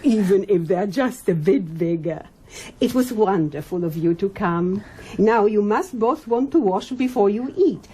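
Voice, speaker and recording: An actress playing a gracious, motherly middle-aged hostess in a radio drama, with a soft, breathy voice. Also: mid-range voice, lively intonation, unhurried. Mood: welcoming. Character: gracious, motherly German hostess, wife of publisher.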